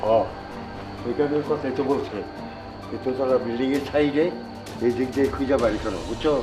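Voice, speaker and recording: An actor playing a commanding, treasure-hungry gang boss in an amateur drama. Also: male voice, mid-range voice, a little fast, distant mic, close to an everyday voice, poor audio. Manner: commanding, gives orders to underlings. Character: bossy male gang leader hungry for hidden treasure. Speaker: actor in amateur short film. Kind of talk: amateur comedy drama dialogue. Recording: off-mic, loud music behind. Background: music.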